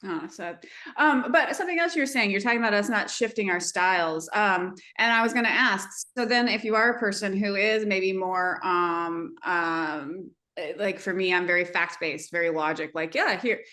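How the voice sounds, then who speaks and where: A woman hosting a podcast, talking casually and thinking aloud in a halting, reflective way. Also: medium pitch, bright, forceful tone. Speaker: podcast host. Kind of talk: casual conversational storytelling.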